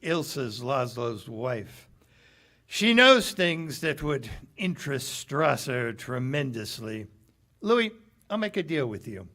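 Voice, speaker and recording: An actor playing a cynical, streetwise American cafe owner, slangy and brash, in 1940s radio theater style. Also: male voice, medium pitch, very animated, takes it slowly, bright, forceful tone, heavily acted. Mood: scheming.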